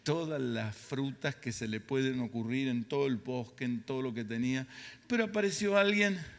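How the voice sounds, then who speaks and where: A man's voice: medium pitch, moderate pace. A middle-aged or older man with an Argentine Spanish accent preaching emphatically, repeating phrases for effect. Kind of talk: sermon. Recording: reverberant hall sound.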